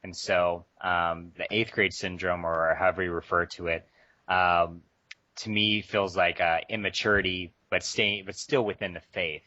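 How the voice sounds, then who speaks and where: A man's voice: low-pitched, fairly even intonation, moderate pace, bright, forceful tone, no room sound. A man appearing as a podcast guest over a call, speaking thoughtfully with frequent "um"s. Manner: thoughtful, frequent "um"s, laughs easily. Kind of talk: casual podcast discussion. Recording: dry lo-fi call audio.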